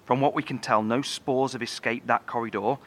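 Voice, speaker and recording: An actor playing a grave, cautious lead engineer of a survivor bunker in a horror audio drama, explaining carefully in a halting, solemn way. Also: male voice, medium pitch, steady tone, a little hiss or hum, close to an everyday voice. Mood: grave, analytical.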